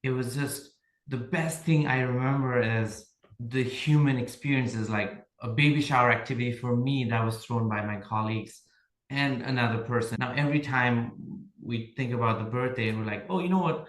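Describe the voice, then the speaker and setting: A man speaks in a reflective, storytelling way, often checking in with "right?". His voice sits at a middle pitch and carries a roomy echo. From Nepal. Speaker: podcast guest, HR leader. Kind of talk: casual conversation.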